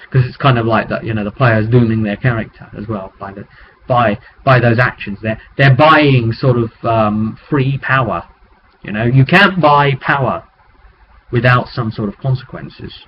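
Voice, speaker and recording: Guy doing a chatty game master recap, halting and rambly, lo-fi webcam sound w faint noise. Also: mid-range voice. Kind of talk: casual vlog recap of tabletop game. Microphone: webcam microphone. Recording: muffled webcam mic with background noise.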